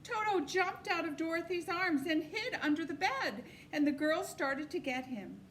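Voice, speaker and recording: A woman reads a children's novel aloud as an expressive storyteller. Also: very high voice, normal speed, a little hiss or hum. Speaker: storybook reader.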